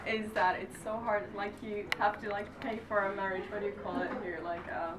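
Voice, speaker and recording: A Finnish-accented woman speaks hesitantly in English, hunting for the right words. Her pitch sits in the middle. She is heard from a distance in an echoing room, with clear background noise. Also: steady tone, a little fast. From Finland. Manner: hesitant, searching for English words, laughing easily. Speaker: actress. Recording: echoey room from far off with noise.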